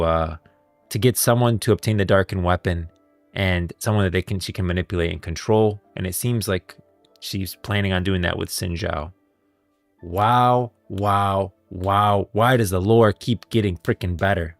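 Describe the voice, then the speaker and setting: A man's voice: low-pitched, no room sound. A man hosting a casual livestream, talking about game lore in an enthusiastic, emphatic way. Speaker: livestream host and video creator.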